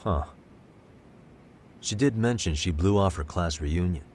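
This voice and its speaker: An actor playing a calm, sharp detective in an English game dub, measured and thoughtful as he reasons through a case. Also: sits low, a little hiss or hum. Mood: analytical. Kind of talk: English video game dub cutscene acting.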